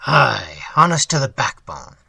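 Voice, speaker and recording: A man's voice: mid-range voice, very animated, measured pace, creaky, bright, forceful tone, slightly rough, no room sound, heavily acted. A man doing a guttural Dutch innkeeper. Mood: blustering. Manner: boisterous, blunt. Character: gruff bloated old Dutch innkeeper, hearty.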